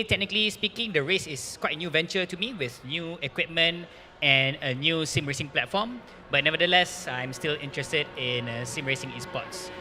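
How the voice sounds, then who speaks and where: Man with a bright, loud stage voice. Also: a little high. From Malaysia. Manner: fluent, loud stage introduction with fillers. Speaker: sim racing competitor. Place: event stage.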